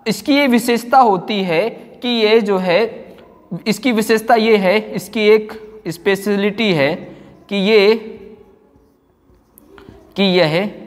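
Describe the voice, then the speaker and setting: A man teacher lectures in a rather high voice, with long room reverb around it. He repeats phrases as if dictating notes. He often poses a question and answers it himself. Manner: repeats phrases slowly for note-taking, asks and answers own questions. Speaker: physics teacher.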